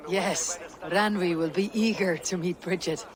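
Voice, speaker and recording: A woman's voice: on the low side, fairly even intonation, unhurried, noisy background, natural voice. A woman playing a stoic, wry Viking warrior in a dramatic game cutscene, with terse delivery. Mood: wry, warm.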